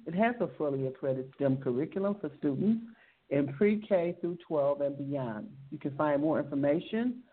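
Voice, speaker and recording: A woman hosting a radio interview, upbeat and encouraging, often repeating her words. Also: deep voice. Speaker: radio show host. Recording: tinny phone-like sound.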